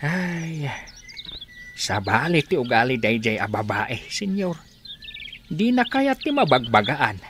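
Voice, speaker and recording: A man's voice: medium pitch, sing-song, slow pace, long pauses, a bit bright, slight background noise. An actor playing an easygoing young man with an Ilocano accent in a radio drama. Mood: placating.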